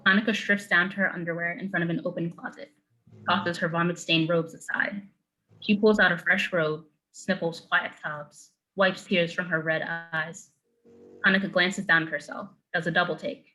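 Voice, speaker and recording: A woman narrates a screenplay's stage directions. Her voice sits a little low but has a very bright, forward tone. She reads matter-of-factly in clipped phrases. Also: steady tone, normal speed, low-quality recording.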